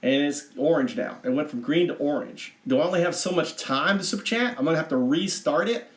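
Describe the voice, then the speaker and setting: A brash redneck livestreamer acted out by a man rambles to his chat in a put-on American Southern accent. He sounds folksy, crude and boastful, with a slightly high male voice in an echoing room. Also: brisk, close to an everyday voice. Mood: boastful, rambling. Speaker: livestream host. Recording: roomy, echoing livestream audio.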